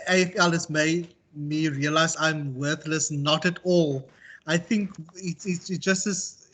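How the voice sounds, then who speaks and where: A South African man speaking as a university tutor in an informal online class, reflective and halting as he circles back to rephrase. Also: fairly high pitch, a bit bright, slight background noise. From Western Cape, South Africa. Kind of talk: informal online lecture and discussion.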